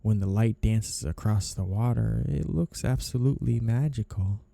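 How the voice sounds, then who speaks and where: A man's voice: on the low side, normal speed, vocal fry, warm tone, no echo. A man playing a flirtatious, two-faced mafia boss, teasing and insinuating in a noir ASMR roleplay. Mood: coy, flirtatious. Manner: teasing, insinuating, mock-innocent. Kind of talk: ASMR roleplay, noir period-piece monologue to a listener.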